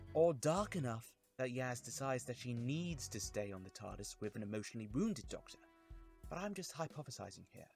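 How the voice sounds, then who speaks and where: Animated man presenting video commentary. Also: medium pitch. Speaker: YouTube reviewer and host. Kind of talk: scripted video commentary to viewers.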